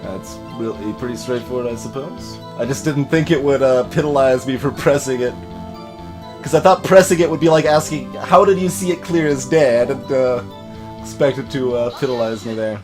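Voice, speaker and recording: A man's voice: medium pitch, normal speed, music in the background. A man giving casual commentary as the host of a video game let's play.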